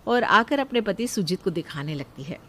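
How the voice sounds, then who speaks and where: A woman's voice: medium pitch, animated, normal speed, bright, forceful tone. Female narrator for a scripted moral story, mid-range voice.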